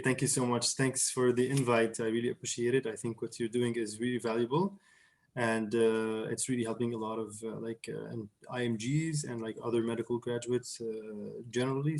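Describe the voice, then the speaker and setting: A man's voice: mid-range voice, little pitch movement, brisk, a little mellow. A young man from Syria, a surgery resident, answering interview questions in a hesitant, casual way, with many fillers and restarts.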